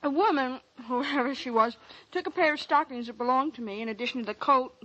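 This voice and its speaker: A woman's voice: high voice, fairly quick, bright, forceful tone, lo-fi sound. An actress playing a coy, breezy wealthy society lady who murmurs her replies in a 1940s radio drama.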